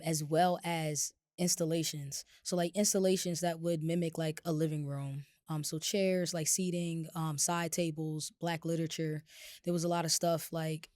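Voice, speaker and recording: Raspy woman with a cold, chatting. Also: fairly low pitch, dry recording. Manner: relaxed, rambling with many fillers. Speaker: podcast co-host. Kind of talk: casual chat.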